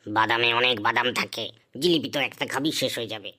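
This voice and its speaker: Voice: mid-range voice, fast, bright, forceful tone, close to an everyday voice. A performer voicing a teasing, indulgent grandfather in a Bengali comedy cartoon. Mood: indulgent grumbling. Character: grandfather treating children at a village fair.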